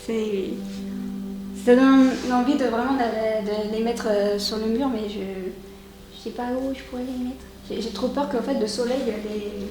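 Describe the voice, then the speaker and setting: A woman's voice: fairly high pitch, fairly even intonation, normal speed. A woman casually chatting as host of an unboxing video, appreciative and often hesitant as she searches for words. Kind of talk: casual chat, showing items. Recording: roomy echo, music behind. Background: background music.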